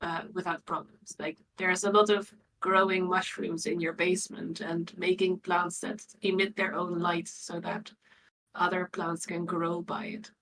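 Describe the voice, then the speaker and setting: A woman's voice: on the low side, level tone, unhurried, fairly bright tone, rough recording. A woman talking casually in a group as a tabletop roleplay player, with faint noise in the recording. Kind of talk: casual group worldbuilding chat.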